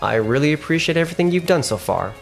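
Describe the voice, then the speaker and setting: A man playing a firm but polite sales manager in a manga dub, measured and businesslike. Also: a little high, animated, creaky, faint music behind. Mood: calm, resolute. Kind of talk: manga dub acting and narration.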